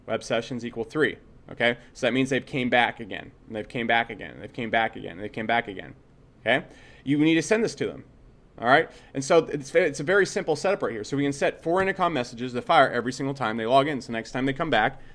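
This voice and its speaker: A man teaching a casual screen-share tutorial, speaking bluntly and conversationally, repeating himself and checking in with short tags. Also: mid-range voice. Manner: blunt, opinionated, swears freely. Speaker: software instructor.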